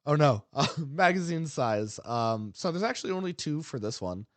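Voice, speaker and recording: A man's voice: fairly high pitch, expressive, moderate pace, no room sound. Man chatting on a dry podcast. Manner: rambling, lots of ums and uhs, laughs easily. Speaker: podcast co-host. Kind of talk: casual podcast chat about a game.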